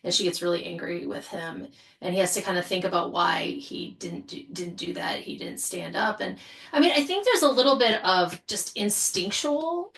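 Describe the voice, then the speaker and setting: A woman speaking as a panel guest in a casual, reflective discussion, earnest and halting as she thinks aloud. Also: fairly low pitch, vocal fry, fairly bright tone.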